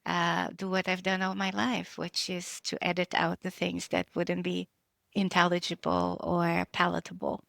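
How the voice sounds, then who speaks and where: A woman's voice: fairly low pitch, completely flat delivery, moderate pace, vocal fry, fairly bright tone, no echo. A middle-aged woman, an author and educator, talking casually with many fillers and restarts. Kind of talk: casual conversation.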